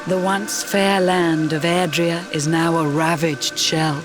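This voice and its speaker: A woman's voice: on the low side, flat delivery, takes it slowly. A woman playing a regal mermaid queen in a video game, speaking stately, grave rhyming narration over music. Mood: grave, sorrowful.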